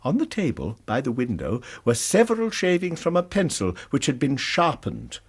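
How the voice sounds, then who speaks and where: An actor playing an anxious, proper British college lecturer in a radio drama, speaking formally and precisely. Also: male voice, mid-range voice, huge pitch swings, normal speed, breathy edge.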